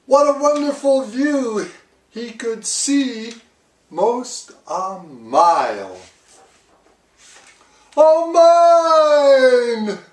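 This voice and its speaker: An older man reading a children's story aloud in a dramatic, deliberate storyteller's manner. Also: a little high, roomy sound, distant mic.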